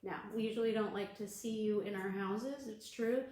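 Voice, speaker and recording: A woman librarian reading a storybook aloud to children on a livestream, gentle and encouraging. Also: mid-range voice, normal speed. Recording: echoey room.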